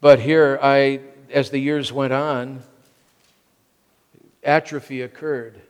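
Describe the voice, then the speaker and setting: A man's voice: mid-range voice, level tone, slow, a little mellow, clean tone. An American man in his sixties preaching a sermon in emphatic short phrases, often repeating them for weight. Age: 60s. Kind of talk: sermon to a congregation. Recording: long reverb of a large hall.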